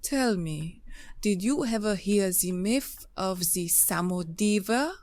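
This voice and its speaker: A woman acts as a mysterious fairy seductress in an audio drama. She speaks very slowly and deliberately in a medium-pitched voice, luring the listener with hypnotic poise. The sound is close and dry. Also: animated, a little mellow, no echo. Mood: hypnotic, beguiling. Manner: slow, deliberate, hypnotic, enticing. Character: mysterious seductive fairy temptress from a foreign land. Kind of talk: audio play acting.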